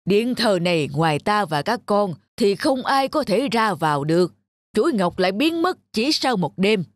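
An actor voicing a stern elderly male monk, a measured and authoritative temple master in a cartoon. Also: medium pitch, very animated, no echo, lightly acted. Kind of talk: animated fairy-tale dubbing.

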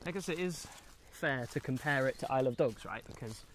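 A man's voice: mid-range voice, lively intonation, brisk. A British man in his twenties chatting casually and musingly as he walks outdoors, co-hosting a film discussion. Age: 20s. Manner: rambling, musing. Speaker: film review co-host. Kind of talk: casual chat while walking. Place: outdoors, walking through countryside and woods. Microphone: handheld camera at arm's length. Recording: outdoor camera audio with background noise.

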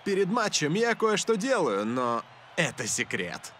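A man voicing a cool, confident young football star in a cartoon dub, smooth and laid-back. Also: high voice, big pitch swings, a little slow, a bit bright, slight background noise, full character voice. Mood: smug, playful. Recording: music behind the voice.

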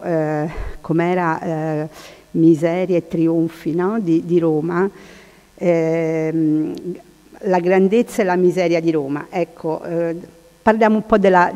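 A woman's voice: lowish voice, mellow. A woman giving an informal lecture in a large hall, often searching for her words. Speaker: conference speaker. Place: large hall, seated at panel table. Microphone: table microphone. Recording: long hall reverb.

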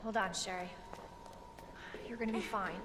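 A woman's voice: medium pitch, fairly even intonation, normal speed, noisy background, close to an everyday voice. An actress playing a young woman, a caring and gutsy survivor, in naturalistic video game acting. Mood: encouraging, tense. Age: young adult. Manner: reassuring, earnest.

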